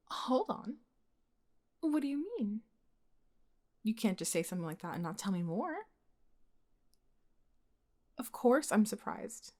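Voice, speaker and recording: Casting: young woman, voice actress playing a blunt heartbreaker best friend, mid-pitched, casual and expressive, intimate audio roleplay. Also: slow, pauses often. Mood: incredulous, teasing. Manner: casual, expressive, sighing, sweary. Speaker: voice actor in ASMR roleplay. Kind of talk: intimate conversational ASMR roleplay acting.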